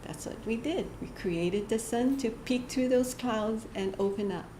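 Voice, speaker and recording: A woman giving a short inspirational church message, gentle and halting, often laughing at herself. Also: a little high. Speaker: church speaker. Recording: echoey hall with faint room noise.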